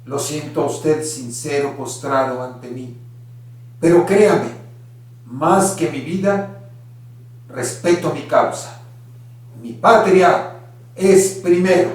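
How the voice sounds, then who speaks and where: An actor playing a resolute, solemn historical insurgent leader in a radio drama, speaking emphatically and deliberately. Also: male voice, fairly high pitch. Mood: solemn defiance. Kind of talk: radio drama acting, declamatory. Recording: very echoey, distant from the mic.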